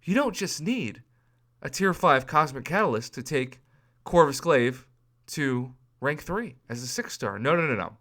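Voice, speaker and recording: A man in his thirties with a hoarse voice, talking casually to his viewers and working through game prices out loud with animated emphasis. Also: on the high side, measured pace. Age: 30s. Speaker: mobile game YouTuber. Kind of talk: casual video commentary.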